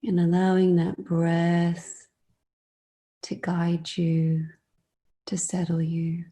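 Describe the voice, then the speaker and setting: Very mellow, slow woman guiding meditation. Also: lowish voice, completely flat delivery. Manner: soothing, gentle, unhurried with long pauses. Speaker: meditation guide. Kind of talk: guided meditation.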